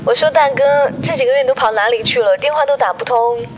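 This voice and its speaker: A woman's voice: fairly high pitch, fast talker, lightly acted. Frank young woman on a tinny phone , acted. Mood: exasperated. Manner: blunt, earnest. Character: frank young female friend breaking hard news. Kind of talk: radio drama phone dialogue. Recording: tinny phone line, faint noise.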